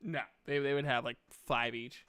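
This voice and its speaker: A man's voice: medium pitch, fairly even intonation, normal speed, sharp tone, clean tone. A man narrating casually as game master of a tabletop game.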